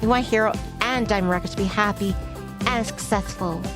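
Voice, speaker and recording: A woman reads a visual novel aloud as a streamer, in a mid-pitched voice. Her narration is expressive as it moves between story text and dialogue. Prominent music plays behind her. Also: brisk. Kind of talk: playthrough narration, reading game text aloud.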